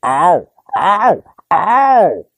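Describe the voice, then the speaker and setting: A man voicing a fussy, proper little gentleman frog from a storybook, with gravelly, husky speech and formal, genteel phrasing. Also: high-pitched, very animated, very slow, dry recording, pitched above the performer's own voice, exaggerated voice. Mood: pained.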